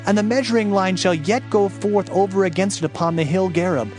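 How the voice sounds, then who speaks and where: Male audiobook narrator, fairly high register, solemn and declamatory scripture delivery, music underneath. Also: fairly quick, darkish tone. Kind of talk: dramatized audiobook scripture reading.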